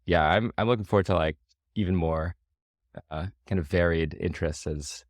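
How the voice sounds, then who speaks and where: A man chatting casually about tech as a podcast co-host, thoughtful and halting in his phrasing. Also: low-pitched, no echo, studio quality.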